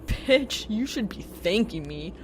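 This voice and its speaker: A woman's voice: mid-range voice, animated, slow pace, a little hiss or hum, voice pushed up. A woman voicing a brash, foul-mouthed young man who teases in bombastic, slangy bursts for an audiobook. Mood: brash, scolding. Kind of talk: audiobook character acting.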